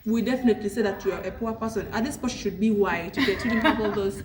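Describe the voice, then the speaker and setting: A young Ugandan woman with a Ugandan English accent, a guest chatting in a lively, teasing way. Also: mid-range voice, quick pace, roomy sound. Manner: lively, teasing, talks in bursts. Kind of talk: casual interview chat.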